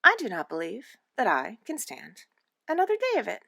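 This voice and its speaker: Tired storybook mum, played by a woman: controlled, fed up, expressive intonation. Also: normal speed, vocal fry, dry recording, clean studio sound, heavily acted. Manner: low, controlled, weary. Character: weary, crossly sighing mother of quarreling twins.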